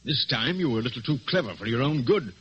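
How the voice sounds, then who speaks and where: An actor playing a stuffy, gullible middle-aged police inspector with old-fashioned phrasing, in old-time radio drama style. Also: male voice, mid-range voice, animated, fast, a bit bright, slightly rough. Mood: scornful. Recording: dull, noisy vintage radio recording.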